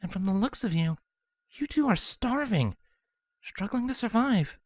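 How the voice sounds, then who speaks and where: A woman voicing a kind, gentle pony princess who speaks softly in a storybook reading. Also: mid-range voice, expressive, unhurried, dry recording, pitched above the performer's own voice. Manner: soft and gentle.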